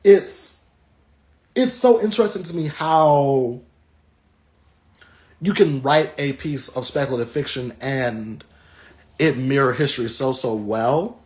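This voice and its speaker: An American man from the South giving a reflective book talk and reading aloud, thoughtful and halting, with frequent pauses. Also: mid-range voice, darkish tone. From Southern United States. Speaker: guest reader.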